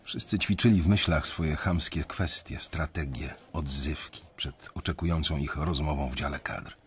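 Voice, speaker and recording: An actor playing a weary young banker, narrating his story in a radio drama in a reflective, confiding way with a husky voice. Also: rumbling low, moderate pace. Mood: reflective, resigned.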